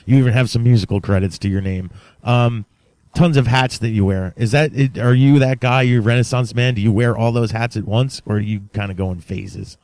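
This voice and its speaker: A man's voice: mid-range voice, brisk, a little mellow, dry recording. A man hosting a podcast, interviewing casually in an informal, admiring, fan-like manner.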